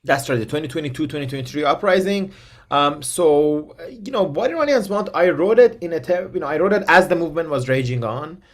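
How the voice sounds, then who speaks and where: A man's voice: a little high, expressive, fairly quick. Iranian-born man, thoughtful guest expert. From Iran. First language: Persian. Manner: thoughtful, many fillers and restarts. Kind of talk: interview answers. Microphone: video call.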